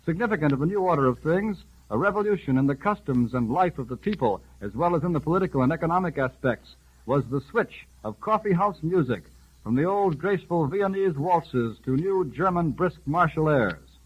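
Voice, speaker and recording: A man reading a formal news bulletin for an old 1938 radio broadcast, urgent and rapid in his delivery. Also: fairly high pitch, expressive, husky, lo-fi sound. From United States. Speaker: radio newscaster.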